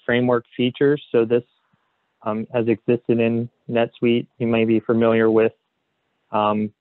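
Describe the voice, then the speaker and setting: A man presenting a software webinar as a consultant, explaining slides in a hesitant way padded with "you know". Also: mid-range voice. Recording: phone-like narrow band, roomy echo.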